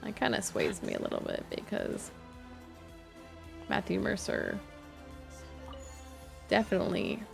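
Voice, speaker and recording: A woman's voice: medium pitch, wildly swooping pitch, unhurried, gravelly, long pauses, soft background music. A young woman streaming a game, chatting casually and rambling with lots of fillers. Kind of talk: casual chat while gaming.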